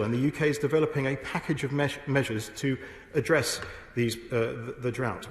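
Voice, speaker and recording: Formal man speaking in an echoey chamber. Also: mid-range voice. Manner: measured, formal, with frequent restarts and stumbles. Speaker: government minister answering in debate. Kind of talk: parliamentary debate speech. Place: parliamentary debating chamber. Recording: echoey chamber broadcast feed.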